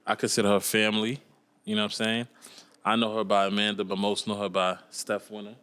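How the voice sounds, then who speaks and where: A man's voice: lowish voice, monotone, brisk, fairly bright tone, smooth. A man hosting a podcast in casual chat, using loose slang and restarting his sentences often. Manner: loose slang-filled talk with many restarts. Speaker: podcast host.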